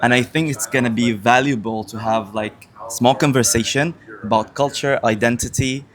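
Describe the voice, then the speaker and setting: A man from Syria hosting a relaxed, informal interview and chatting easily with his guests. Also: medium pitch, normal speed. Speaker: host. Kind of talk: casual interview.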